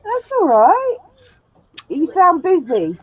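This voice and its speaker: A woman's voice: extremely high pitch, huge pitch swings, slow, no echo. A woman hosting a sci-fi live stream, chatting casually and laughing often, in a room with a glass roof. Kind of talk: casual chat. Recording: dull lo-fi dry sound.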